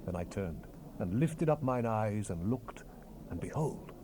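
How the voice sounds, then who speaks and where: A man's voice: lowish voice, lively intonation, a little slow. A man narrating scripture dramatically in a solemn, declamatory manner. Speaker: Bible narrator. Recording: hissy 1970s cassette rip.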